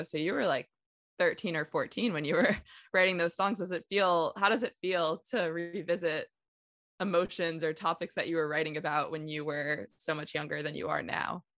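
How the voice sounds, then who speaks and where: A woman's voice: sits low, fairly even intonation, normal speed, fairly bright tone, no room sound, clean studio sound. A woman in her twenties interviewing, chatting in a relatable way with many fillers. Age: 20s. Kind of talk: casual interview chat.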